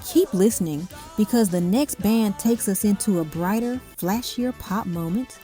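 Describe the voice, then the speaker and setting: Woman doing a scripted music countdown voiceover, soft dark tone, punchy little phrases, faint music behind her. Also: mid-range voice, moderate pace. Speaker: narrator of a music countdown video. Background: faint music under the voice.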